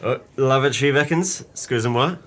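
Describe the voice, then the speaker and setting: An actor playing a jovial, tipsy, hard-up former sailor in an audio play, talking in hearty, flowery, boastful phrases. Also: male voice, mid-range voice, brisk, fairly bright tone, close to an everyday voice. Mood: cheerful, opinionated.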